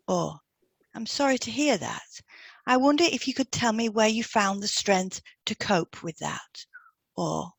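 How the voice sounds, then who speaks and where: A woman's voice: mid-range voice, no echo. Woman, close dry studio-like sound. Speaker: podcast co-host and hypnotherapist. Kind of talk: scripted podcast advice with example client questions read out.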